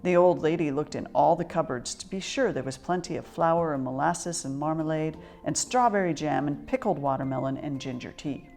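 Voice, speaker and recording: A woman storyteller with a low, slightly creaky voice reads a children's picture book aloud. Her delivery is expressive and measured, with faint music behind her.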